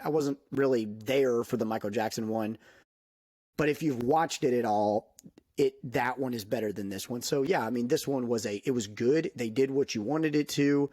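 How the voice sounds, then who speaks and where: Casual male podcast guest, mid pitch, dry close sound, animated and chatty. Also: dry recording. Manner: animated, laughs often, many fillers like "dude". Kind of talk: casual chat.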